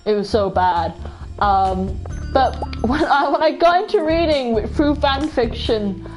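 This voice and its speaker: Young woman streamer, relaxed rambling chat over game music, slightly high voice. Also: unhurried, rough recording. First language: Welsh. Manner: rambling, full of "like" and restarts, giggly. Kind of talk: casual chat while playing a game.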